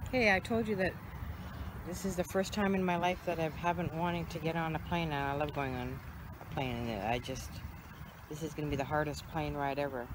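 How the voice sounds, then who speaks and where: Halting, slightly hoarse woman outdoors. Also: low-pitched, steady tone. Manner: halting, nervous and sad, with frequent fillers. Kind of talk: casual chat. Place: outdoors by a pond. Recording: loud outdoor background noise.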